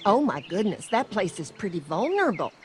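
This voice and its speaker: Woman playing a lively older Southern farm mama. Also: mid-range voice, fairly quick, slight background noise, natural voice. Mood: welcoming. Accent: American Southern. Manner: folksy, effusive. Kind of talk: video game voice acting.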